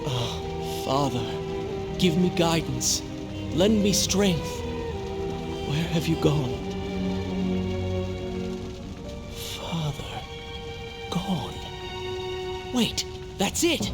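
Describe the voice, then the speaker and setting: An actor playing an anguished, proud young prince in a video game cutscene, speaking with dramatic, pleading urgency. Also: male voice, fairly high pitch, lively intonation, drawn-out words, long pauses, loud music behind the voice, heavily acted. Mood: despairing.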